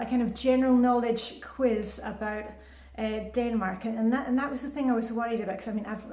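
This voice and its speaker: Woman in her fifties, chatty vlogger, slightly high voice, echoey room, lots of ums and restarts. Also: a little mellow. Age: 50s. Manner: chatty, upbeat, with many fillers and restarts. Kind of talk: casual vlog chat. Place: indoors at home, with a short outdoor clip in the rain.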